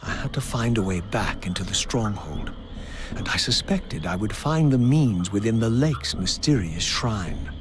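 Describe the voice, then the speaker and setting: A brooding undead male antihero from a video game. His delivery is eloquent and sardonic, with dramatic swings in pitch over clear background music. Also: sing-song, measured pace.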